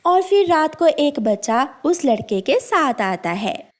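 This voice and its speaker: High-pitched, slow-paced woman storyteller. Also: sing-song. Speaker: story narrator. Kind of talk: scripted narration of a children's cartoon story.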